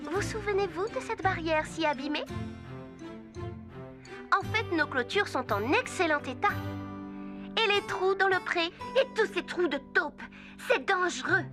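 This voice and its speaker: A woman voicing an earnest, eager teenage girl rider in a French-dubbed children's cartoon. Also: very high-pitched.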